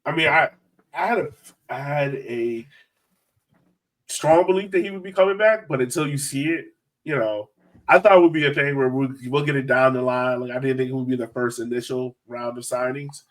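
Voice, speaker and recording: A man's voice: mid-range voice, normal speed, poor audio. Man, podcast host, mid-range voice, casual panel chat, informal fan enthusiasm.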